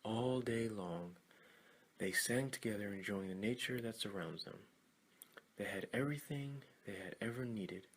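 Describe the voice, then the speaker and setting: A man narrating a scripted children's story in a deliberate way, with dramatic pauses. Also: on the low side, breathy edge.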